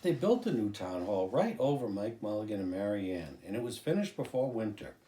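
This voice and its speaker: Older man, dramatic picture-book storyteller; soft-edged mellow tone, roomy echo, music behind. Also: fairly low pitch, fairly quick. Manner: expressive, dramatic, with occasional stumbles. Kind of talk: animated children's picture book reading.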